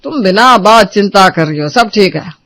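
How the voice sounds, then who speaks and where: A man playing an affectionate, reassuring middle-aged father in a Hindi family drama, speaking gently to his family. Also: high voice, lively intonation, normal speed, dry recording.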